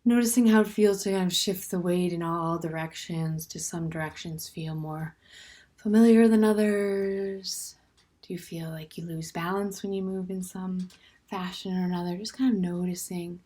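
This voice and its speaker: A woman leading a guided standing meditation in a gentle, unhurried way, with soft, breathy phrase endings and plenty of pauses. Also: on the low side, steady tone. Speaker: meditation teacher.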